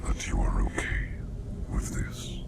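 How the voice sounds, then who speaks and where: A man playing a mysterious, formal plague doctor in an ASMR roleplay, whispering in slow, archaic phrases with a breathy, gravelly voice. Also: lightly acted. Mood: calm, soothing. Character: mysterious plague doctor hypnotist visiting a dream, formal, protective.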